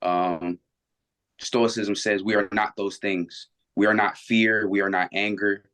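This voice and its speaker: A man's voice: on the low side, flat delivery, measured pace, fairly bright tone. A man in his twenties, a guest on a video call, talking earnestly and reflectively with many restarts and fillers. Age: 20s. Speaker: interview guest. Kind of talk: reflective casual conversation.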